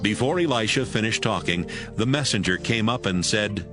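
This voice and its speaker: A male narrator with a slightly low, lively voice reads scripted Bible narration. His pitch moves expressively, and music plays clearly behind him. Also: normal speed.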